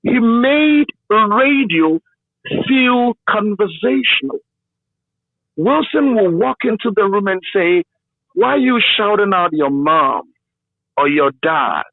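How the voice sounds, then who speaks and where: A man with a Ghanaian accent speaks in a high voice as an interviewed broadcaster. He tells his story emphatically and checks in with the listener as he goes. The sound is dry and somewhat muffled, like a radio feed. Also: lively intonation, slow pace, no room sound. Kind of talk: conversational interview reminiscence.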